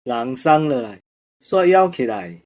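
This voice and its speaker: A man's voice: mid-range voice, big pitch swings, measured pace, a little mellow. A man acting an old Taiwanese village grandpa. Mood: amused. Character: kindly old village grandpa, hungry and easily startled. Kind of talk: Taiwanese audio drama acting.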